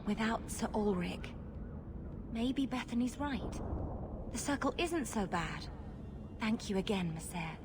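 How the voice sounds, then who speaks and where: A woman's voice: fairly high pitch, animated, unhurried, long pauses, background noise. An actress playing a timid, shaken young female mage in a video game, meek and hesitant. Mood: subdued, grateful.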